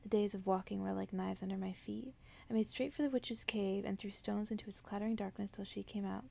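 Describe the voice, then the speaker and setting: A woman reading a bedtime story aloud in ASMR style, soft-spoken and often dropping into a whisper. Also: medium pitch, steady tone, a little fast, gravelly.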